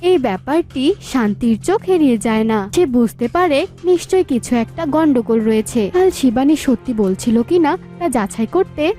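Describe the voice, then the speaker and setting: A woman's voice: high voice, expressive, normal speed, few pauses, dark tone, soft background music. A woman reading scripted story narration.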